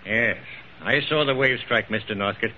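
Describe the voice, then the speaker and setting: An actor playing a firm, matter-of-fact, authoritative sea captain in old-time radio drama style. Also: male voice, fairly low pitch, moderate pace, pushed and bright. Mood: stern. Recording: dull, hissy old radio recording.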